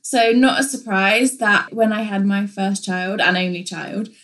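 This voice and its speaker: A woman's voice: mid-range voice, fairly even intonation, unhurried, fairly bright tone. A young woman from England, co-hosting a podcast in a casual, open, chatty way with many fillers. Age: young adult. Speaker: podcast co-host.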